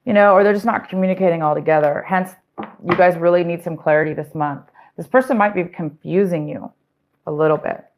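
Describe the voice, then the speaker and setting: A woman's voice: on the low side, fairly quick. A woman giving a casual, rambling tarot reading, talking straight to her viewers with fillers and restarts, and saying she is losing her voice. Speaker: tarot reader.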